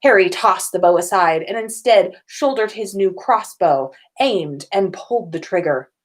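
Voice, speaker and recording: A woman hosting a casual story reading, expressive and given to drawn-out emphasis as she narrates and comments. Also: mid-range voice. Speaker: podcast host reading listener-written fan fiction aloud. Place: own room at home.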